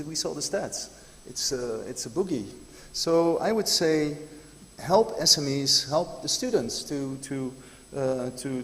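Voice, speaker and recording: A man giving an enthusiastic conference speech, hesitant with many uh fillers. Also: mid-range voice. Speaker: conference speaker. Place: large conference hall. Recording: hall reverb, faint room noise.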